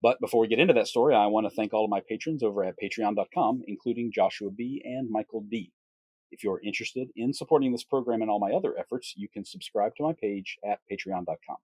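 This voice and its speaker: A man's voice: lowish voice, quick pace, a little mellow, no echo, low-quality recording. Upbeat male podcast host, dry sound. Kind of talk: podcast interview with a sponsor read.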